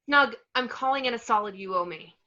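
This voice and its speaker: Woman acting anxious superhero mom, bright voice. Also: on the high side, fairly quick, dull audio. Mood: businesslike. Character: anxious, take-charge superhero mother and pilot. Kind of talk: amateur online table read.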